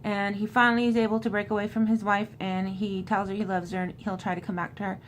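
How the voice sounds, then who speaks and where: A woman's voice: mid-range voice, little pitch movement, quick pace, a little hiss or hum, rough recording. A woman in an informal, conversational style, recapping a book and reading passages from it aloud. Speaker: host recapping a horror novel.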